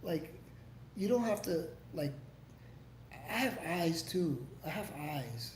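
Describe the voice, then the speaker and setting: A man's voice: a little high, very animated, takes it slowly. Rambling, profane man in an echoey room. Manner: rambling and profane, with frequent "like" and restarts. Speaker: livestream host. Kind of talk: casual livestream chat, reading and answering viewer comments. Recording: echoey room with faint background noise.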